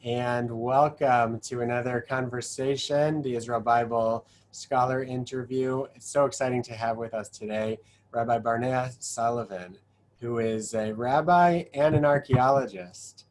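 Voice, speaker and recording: A man's voice: mid-range voice, fairly even intonation, unhurried, a little hiss or hum. A man hosting an interview, introducing his guest with many fillers and restarts. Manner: friendly introductions, hesitant with fillers. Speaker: interview host.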